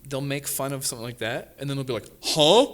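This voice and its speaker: A man's voice: mid-range voice, animated, quick pace, fairly bright tone. A Canadian man co-hosting a comedy podcast, teasing his guests with wisecracks. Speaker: podcast co-host. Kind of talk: casual comedic chat.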